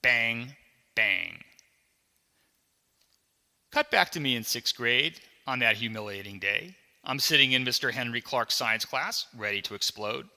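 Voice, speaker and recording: A man reading his own memoir as a scripted audiobook, with dramatic, deliberate storytelling. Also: mid-range voice, bright, forceful tone, big-room sound.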